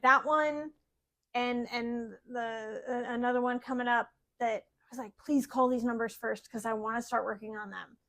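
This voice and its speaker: A woman with a slightly high voice talking through her project list in an unhurried way. She sounds excited and a little scattered, filling pauses with "uh" and "like." It is an easygoing co-host chat. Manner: excited, scattered listing with fillers. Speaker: podcast co-host. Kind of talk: casual chat about cross-stitch projects.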